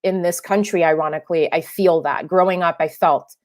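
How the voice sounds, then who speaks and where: A woman's voice: on the low side, fairly even intonation, fairly quick. A young adult woman filmmaker whose first language is French, answering interview questions thoughtfully, with many restarts and fillers. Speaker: filmmaker guest.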